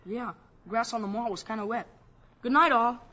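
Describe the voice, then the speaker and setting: A woman voicing an earnest, excited young boy in a 1950s radio drama, talking breathlessly and insistently. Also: a little high, normal speed. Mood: sheepish.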